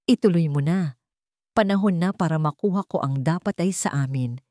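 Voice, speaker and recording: A woman's voice: fairly low pitch, warm tone, dry recording, clean studio sound, natural voice. Woman narrator as a cold, vengeful young wife. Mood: determined. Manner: cold, determined, deliberate. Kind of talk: melodramatic storybook narration.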